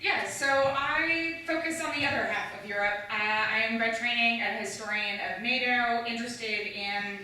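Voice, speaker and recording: A woman's voice: fairly high pitch, fairly even intonation, normal speed, few pauses, sharp tone, a little hiss or hum. A female historian from Canada speaking as a guest at an academic panel, fluent and lightly emphatic. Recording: echoey room, far from the mic.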